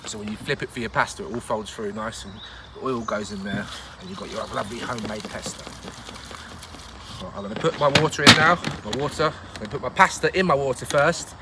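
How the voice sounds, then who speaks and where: A man's voice: mid-range voice, fast talker, very noisy. A man hosting a casual outdoor cooking show in the woods, talking through each step with plenty of jokes and laughter. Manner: chatty, jokey, laughs often.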